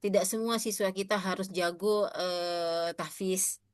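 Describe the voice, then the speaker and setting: A woman in her thirties with an Indonesian accent lectures a class of teachers. She keeps turning to her listeners with questions and fills her pauses with hesitations. Her voice is recorded close and dry, with no room sound. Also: on the low side, steady tone, clean tone, dry recording. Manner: addresses audience often, asks questions, frequent fillers. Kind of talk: interactive teacher-training lecture.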